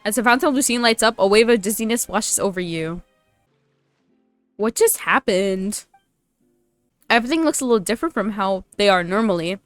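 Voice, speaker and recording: A woman's voice: medium pitch, expressive, normal speed, long pauses, no room sound, soft background music. Playful young woman streaming, dry close sound. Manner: slangy, playful, teasing. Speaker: streamer playing a story game. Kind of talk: casual game commentary, with dialogue read aloud.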